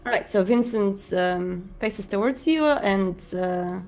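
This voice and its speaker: A woman's voice: medium pitch, takes it slowly, a little mellow, faint music behind. A woman improvising narration for her character in a tabletop roleplaying game, searching for words as she goes. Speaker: roleplay player.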